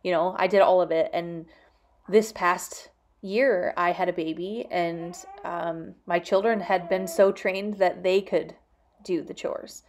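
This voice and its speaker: A woman's voice: on the low side, fairly even intonation, a little slow, darkish tone, a little hiss or hum. A woman in her thirties, a homemaking vlogger, answering questions casually in a candid, reflective, explanatory way.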